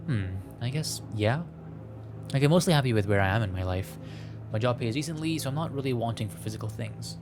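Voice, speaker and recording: A man doing a casual game playthrough, reading the story text aloud in a halting way, stumbling over words. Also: mid-range voice, animated, music in the background. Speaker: streamer playing a visual novel.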